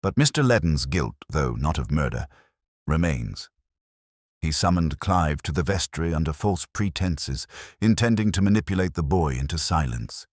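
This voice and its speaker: Man reading as grave, gentle inspector, extremely deep. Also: animated, normal speed, dry recording, studio quality. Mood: quietly stern. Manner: gentle, quietly firm, formal. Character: calm, grave, measured male police inspector. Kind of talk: dramatised detective story dialogue.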